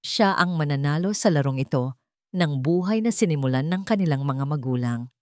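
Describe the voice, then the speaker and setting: A woman's voice: deep voice, animated, normal speed, a little mellow, no room sound, clean studio sound. A woman narrator reading a scripted romance story in a dramatic storytelling style.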